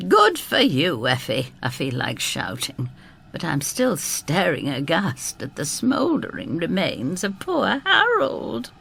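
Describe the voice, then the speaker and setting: A woman's voice: lowish voice, huge pitch swings, slow pace, gravelly, a bit bright, breathy edge, exaggerated voice. An actress playing a blunt, protective older woman who narrates an audio drama in a wry, droll, disapproving manner. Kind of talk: first-person dramatised storytelling.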